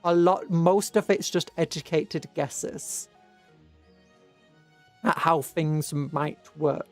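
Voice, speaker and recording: A British livestreamer talks casually to viewers in a medium-pitched voice. The delivery wanders, with hesitations and restarted sentences. Soft music plays behind the voice. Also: slow. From Britain. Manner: rambling, hesitant, trailing off and restarting. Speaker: livestream host. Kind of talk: casual rambling livestream chat.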